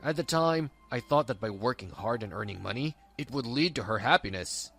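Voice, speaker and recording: A man's voice: mid-range voice, animated, fairly quick, vocal fry, a bit bright, husky, full character voice. A man voicing an older father, humbled and supportive, in a manga dub. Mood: reflective. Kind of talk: scripted manga dub dialogue.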